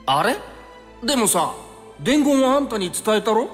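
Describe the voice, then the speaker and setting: A man's voice: very high-pitched, sing-song, normal speed. An actor playing an easygoing young male time traveler in an anime game, stammering and flustered, with casual yet nervously polite speech. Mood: confused, timid. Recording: long reverb over background music.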